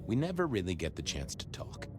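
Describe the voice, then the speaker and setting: A man's voice: low voice, wildly swooping pitch, brisk, noisy background. An actor playing an earnest, insistent vampire lawman in a video game, folksy and polite in his phrasing. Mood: urgent. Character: earnest, urgent vampire lawman warning of a killer.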